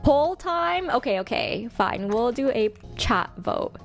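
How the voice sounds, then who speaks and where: A woman streamer chatting casually with her viewers, commenting in an expressive, gushing way and thinking her choices through out loud. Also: on the high side, takes it slowly, vocal fry, faint music behind. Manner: expressive, gushing, deliberating aloud. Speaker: game streamer and host.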